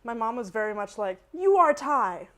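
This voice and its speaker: A woman with a Canadian accent talking casually as an interview guest, in an animated, emphatic and slangy way. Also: a little high, normal speed. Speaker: guest, dancer and artist.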